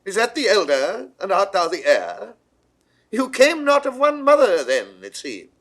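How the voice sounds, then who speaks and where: Imperious, high-pitched, theatrical British king. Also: huge pitch swings, a little slow, a bit bright, exaggerated voice. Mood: commanding, questioning. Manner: declamatory, regal, rhetorical. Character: imperious, shrewd medieval king of England. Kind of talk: 1960s classical Shakespeare audio drama acting.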